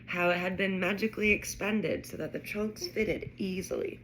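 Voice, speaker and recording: A woman putting on a British accent to read a children's storybook aloud in a measured, expressive way. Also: medium pitch, a little hiss or hum, lightly acted, rough recording. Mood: storytelling. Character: British storybook narrator reading a wizard school tale. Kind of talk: scripted narration.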